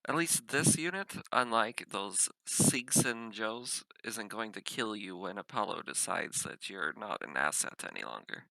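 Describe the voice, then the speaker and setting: A man playing a composed, dryly wry female spaceship captain in a measured manner at a tabletop game. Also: on the low side, expressive, vocal fry, sharp tone, dry recording, heavily acted, low-quality recording.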